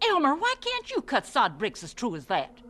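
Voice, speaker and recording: An actress playing a nagging rural prairie wife in a radio drama. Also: female voice, high voice, huge pitch swings, normal speed, heavy background noise.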